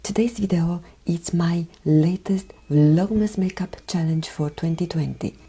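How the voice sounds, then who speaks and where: A woman from Romania with a Romanian accent, speaking a gentle, careful voiceover for her makeup tutorial, often dropping near a whisper. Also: lowish voice, a little slow, breathy edge. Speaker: beauty vlogger. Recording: voiceover with background music.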